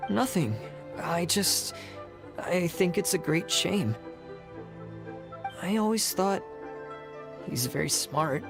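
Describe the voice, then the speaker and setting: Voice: medium pitch, lively intonation, slow pace, gravelly, pauses often. A sober young apprentice over music. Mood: downcast. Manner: earnest, reserved. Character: sober, modest, level-headed young apprentice. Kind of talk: video game voice acting. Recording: loud music behind the voice.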